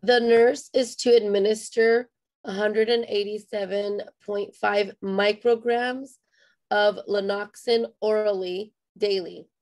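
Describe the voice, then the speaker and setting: A woman student reading a problem aloud in class, her voice hoarse. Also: mid-range voice, fairly even intonation, takes it slowly, a little mellow.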